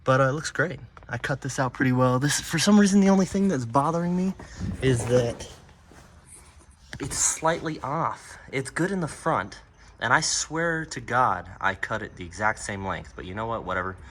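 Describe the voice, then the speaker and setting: An easygoing man talks through a hands-on DIY build in a casual, unscripted vlog style. His voice sits at an average male pitch and his delivery is offhand. There is noticeable ambient noise behind him. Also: animated, moderate pace, a bit bright. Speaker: vlogger showing a DIY car build. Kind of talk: casual unscripted show-and-tell. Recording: clear background noise and ambience.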